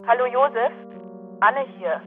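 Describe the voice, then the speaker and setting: An actress playing an apologetic friend leaving a telephone message in a German radio drama. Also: female voice, on the high side, measured pace, faint music behind. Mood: apologetic, hesitant. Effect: telephone message filter. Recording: band-limited, muffled phone message.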